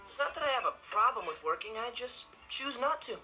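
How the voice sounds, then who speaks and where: A young man playing a cool, lazy, sarcastic teenage stepbrother with deadpan delivery in a sitcom monologue. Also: very high-pitched, brisk. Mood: laid-back. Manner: laid-back, deadpan. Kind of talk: scripted sitcom monologue to camera. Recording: music behind TV dialogue.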